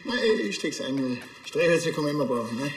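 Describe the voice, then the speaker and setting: A man's voice: on the high side, expressive, fast talker, echoey room, slight background noise, low-quality recording. An actor playing a grumpy, sardonic village policeman with a Bavarian accent and a dry manner in a TV crime comedy. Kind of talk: naturalistic TV crime comedy acting.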